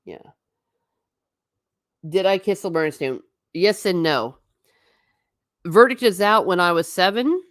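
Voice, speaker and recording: A woman's voice: lowish voice, expressive, slow, pauses often, no room sound, clean studio sound. A middle-aged woman chatting on a stream about travel photos, rambling and self-deprecating. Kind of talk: casual stream commentary.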